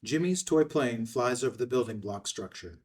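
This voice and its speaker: A man's voice: medium pitch, expressive, moderate pace, darkish tone, recorded from a distance. A man narrating screenplay action lines at a table read. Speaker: stage-direction narrator.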